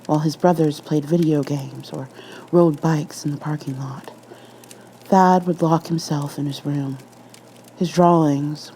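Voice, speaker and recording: A woman's voice: low voice, mellow, slight background noise, rough recording. A woman reading a scripted horror story as an ASMR narrator.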